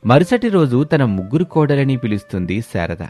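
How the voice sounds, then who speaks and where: A man's voice: medium pitch, big pitch swings, normal speed, a little mellow, faint music behind. A man narrating a scripted moral story.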